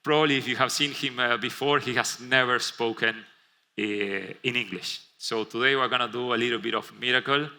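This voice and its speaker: Bright-voiced Argentinian man on stage. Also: mid-range voice, fairly even intonation, normal speed. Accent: Argentinian Spanish. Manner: many fillers and self-restarts. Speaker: conference presenter. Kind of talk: keynote presentation. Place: conference stage.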